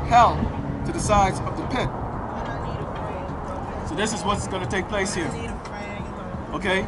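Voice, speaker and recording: High-voiced man preaching on a loud street. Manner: declaiming, reading scripture slowly then preaching loudly; rhetorical questions to passersby. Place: outdoors on a city street. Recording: loud street traffic noise.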